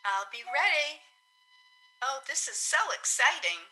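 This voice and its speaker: A woman's voice: high-pitched, expressive, unhurried, bright, forceful tone, full character voice, poor audio. A woman playing an eager, proper schoolteacher in old-time radio comedy. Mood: excited.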